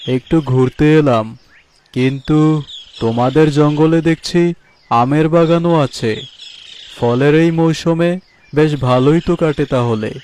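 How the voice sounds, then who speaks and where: An actor playing a lazy, meat-loving tiger in a cartoon, with a leisurely drawl. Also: male voice, fairly high pitch, slow pace, long pauses, darkish tone, slight background noise. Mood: impressed. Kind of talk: cartoon voice acting.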